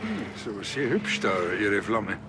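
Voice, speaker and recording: A man's voice: on the low side, sing-song, slow pace, noisy background. An actor with a Bavarian accent playing a cheerful, naive, self-assured policeman in a TV crime comedy. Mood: insinuating. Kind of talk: naturalistic TV crime comedy acting.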